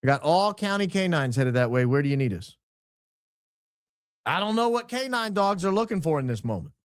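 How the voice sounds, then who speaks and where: Male commentator, higher-pitched and very lively, questioning, repetitive, casual, dry close sound. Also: brisk, dry recording. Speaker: host. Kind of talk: casual commentary on audio clips.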